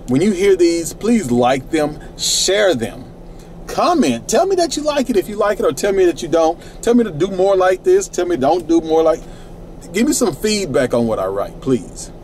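A man's voice: high-pitched, big pitch swings, normal speed, darkish tone, slight background noise. A middle-aged man reciting his own rhyming spoken word poetry in a laid-back, rhythmic way from inside a parked minivan at night.

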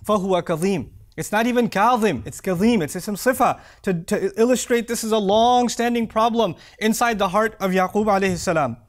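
A man in his thirties giving an expressive Friday sermon in a small prayer hall, reciting verses and then explaining them. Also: high voice, fast, hardly any pauses. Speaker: preacher. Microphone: lapel mic.

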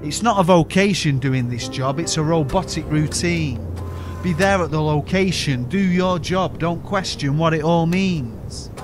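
A man with a Yorkshire accent recites rhyming spoken word verse in a steady, weary, detached cadence. His voice sits a little higher than most men's. A clear music bed runs underneath the studio voice-over. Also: measured pace. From South Yorkshire, England.

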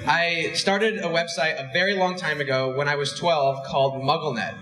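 Young guy on stage w a handheld mic, slightly high voice, roomy hall echo. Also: fairly even intonation, moderate pace. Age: young adult. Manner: chatty, self-mocking storyteller. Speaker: company head and co-host. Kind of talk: stage anecdote to a live audience. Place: large dark stage in a hall. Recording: echoey hall.

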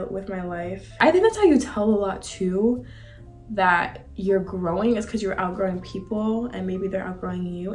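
A 21-year-old woman vlogger talking in a casual, slangy, confiding way, as in a chatty sit-down monologue. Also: medium pitch. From several countries, including Argentina and Bosnia. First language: bilingual English and Spanish. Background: background music.

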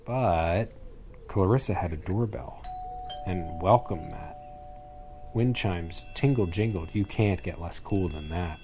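A man's voice: sits low, slow pace. A man reading a rhyming children's story aloud with theatrical, dramatic phrasing. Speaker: storybook reader. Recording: clearly noisy added ambient sound effects. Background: sound effects, ambience, animal sounds.

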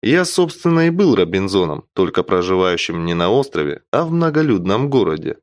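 A man's voice: low voice, big pitch swings, fairly quick, dry recording. A man narrating an audiobook in the first person with a wry, theatrical storytelling delivery.

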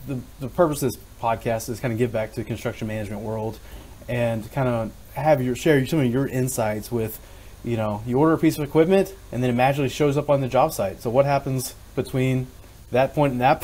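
A man's voice: mid-range voice, darkish tone, a little hiss or hum, poor audio. A man in his thirties hosting a podcast over a video call, easygoing and loose with fillers. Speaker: podcast host. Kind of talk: casual interview hosting.

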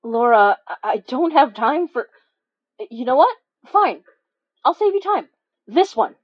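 A woman's slow, halting voice in a dry, slightly dulled recording, an actress in an audio drama. She plays it earnest and anxious, a protective investigator choosing her words. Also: high-pitched, big pitch swings, no room sound, lo-fi sound, full character voice. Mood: worried, pressing.

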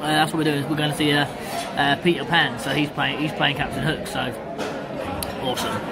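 A man's voice: fairly high pitch, little pitch movement, brisk. A young man chatting casually and jokily to his vlog, often repeating phrases. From England. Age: young adult. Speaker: vlogger. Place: on the go in car, large busy pub, theatre. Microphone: handheld phone at arm's length. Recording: loud music and bustling crowd behind.

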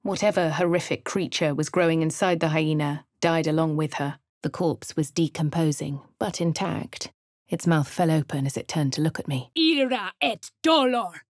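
Posh, crisp female adventurer. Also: low voice, normal speed, no room sound. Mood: wary, then commanding. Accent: posh southern English. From Baldur's Gate. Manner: crisp, clipped narration with dry asides. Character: posh young female adventurer, wry and composed. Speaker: player character. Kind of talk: video game voice lines.

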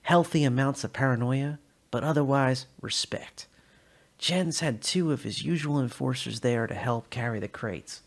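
A man reading a sci-fi short story aloud in an expressive dramatic style. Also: medium pitch, moderate pace. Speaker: narrator.

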